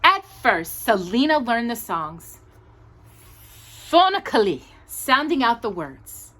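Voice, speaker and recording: A woman with a husky edge to her voice reads a children's story aloud. Her pitch moves up and down in a lively way, and she leans on key words with pauses, as a storytime host does. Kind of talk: expressive children's picture book read-aloud.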